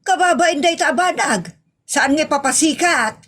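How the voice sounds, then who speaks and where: High-pitched scolding wife, played by a woman. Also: moderate pace, fairly bright tone. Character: scolding, scornful wife nagging her bragging husband. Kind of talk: comedy audio drama acting.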